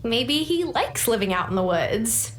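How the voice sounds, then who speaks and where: A friendly young game character, animated pitch, echoey. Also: female voice, a little slow, slight background noise. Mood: matter-of-fact. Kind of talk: voiced video game dialogue.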